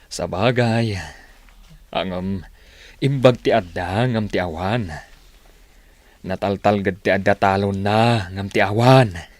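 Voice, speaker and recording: A man's voice: fairly low pitch, slow pace, long pauses, no echo. A man playing a weary farmer father in an Ilocano radio drama, speaking plainly and resignedly with an Ilocano accent.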